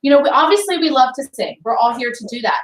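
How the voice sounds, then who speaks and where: Female voice clinician, warm encouraging teacher, slightly high voice, echoey room at a distance. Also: sing-song, fast. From came from Baltimore. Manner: friendly, encouraging, asks the group questions. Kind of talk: workshop teaching to a group. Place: rehearsal room with a group. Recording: echoey room, mic far off.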